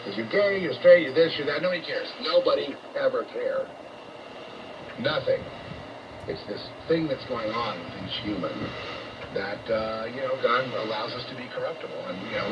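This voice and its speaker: An American man preaching on shortwave radio in a blunt, confrontational, profane rant, halting and repeating himself. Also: mid-range voice. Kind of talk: unscripted ranting sermon. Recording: noisy muffled shortwave radio broadcast.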